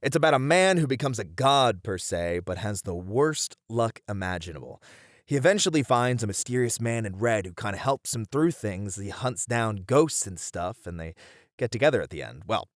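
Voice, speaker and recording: A man's voice: on the low side, animated, normal speed, no room sound, clean studio sound, close to an everyday voice. A man voicing a friendly, bashful bunny man who chats helpfully to the listener in an ASMR roleplay. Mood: bashful, musing. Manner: chatty, helpful, easily flustered, breaking into chuckles and hesitations. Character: friendly, bashful bunny man, bookish manga cafe regular. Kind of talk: ASMR roleplay, one-sided conversation with the listener.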